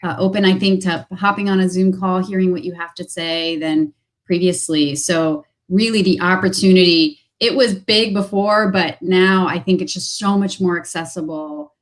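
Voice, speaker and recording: A woman's voice: on the low side, steady tone, moderate pace. A woman talking business as a guest on a video call, upbeat and full of fillers, repeating her phrases for emphasis.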